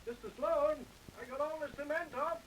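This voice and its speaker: A man's voice: very high-pitched, moderate pace. An actor playing a squeamish, deferential workman in a 1930s radio crime drama. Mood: obliging. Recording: noisy 1930s radio, off-mic.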